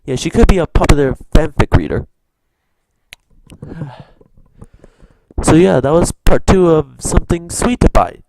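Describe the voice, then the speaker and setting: Slow-talking man, slightly high voice, reacting casually. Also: lively intonation, vocal fry, noisy background. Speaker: reaction video host. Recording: music playing behind the voice.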